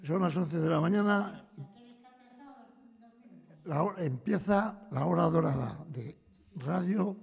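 A male guest on a community radio show chimes in playfully, like an announcer, with a gravelly voice. He sounds distant from the mic, and his voice echoes in a reverberant room. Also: a little high, takes it slowly, long pauses.

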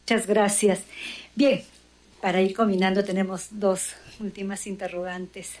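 A woman's voice: mid-range voice, flat delivery, measured pace, darkish tone. A woman chairing a session, putting formal questions with occasional fillers.

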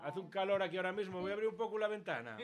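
A man's voice: high-pitched, expressive, racing, crowd noise. A man hosting a casual interview, chatting in an informal, jokey way full of colloquial slang. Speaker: radio host interviewing a guest and taking song requests. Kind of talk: casual, playful chat.

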